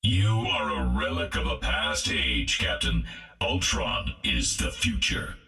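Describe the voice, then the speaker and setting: An actor voicing a cold, superior robot villain in a game, pompous and precise. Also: male voice, a bass voice, animated, slow pace, bright, forceful tone, echoey room, soft background music, full character voice. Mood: cold, condescending.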